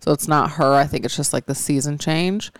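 A woman co-hosting a podcast, candid and earnest, a little raspy and stuffy from allergies. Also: low-pitched, fairly quick, dry recording. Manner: candid, earnest, rambling with fillers. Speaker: podcast co-host. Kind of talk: casual chat.

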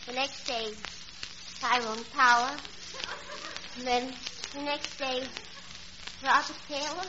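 A woman's voice: sits high, close to an everyday voice. An actress playing a daffy, man-crazy young tavern girl with affected genteel chatter in a 1940s radio comedy. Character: homely, man-crazy tavern owner's daughter, daffy and envious. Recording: muffled, hissy old radio broadcast.

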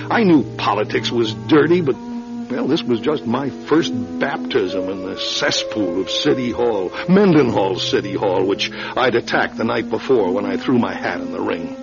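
A man's voice: lowish voice, gravelly. An actor playing an anxious, defensive male political newcomer in a radio drama, hesitant and agitated. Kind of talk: radio drama acting with first-person narration. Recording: dull old radio recording.

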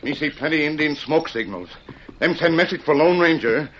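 An actor playing a hero's loyal companion in an old radio western, talking in clipped, stilted broken English. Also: male voice, on the high side, animated, moderate pace, fairly bright tone. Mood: urgent. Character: loyal, terse Native companion of a western hero. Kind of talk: 1940s-50s radio western acting. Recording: muffled, hissy old radio broadcast.

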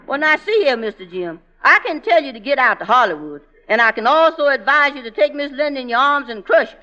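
Brief: high, bright-voiced scolding housekeeper, plain-spoken, dull old radio sound. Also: female voice, very animated, a little fast, exaggerated voice. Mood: advising. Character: bossy, folksy female housekeeper who scolds her boss. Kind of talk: 1940s radio acting.

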